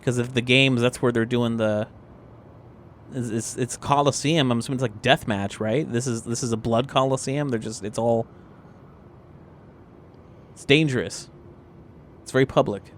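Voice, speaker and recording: A man's voice: mid-range voice, steady tone, moderate pace, long pauses, a little hiss or hum. A man chatting casually and jokily as a tabletop roleplaying player, in a rambling, hesitant way. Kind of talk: casual improvised game chat.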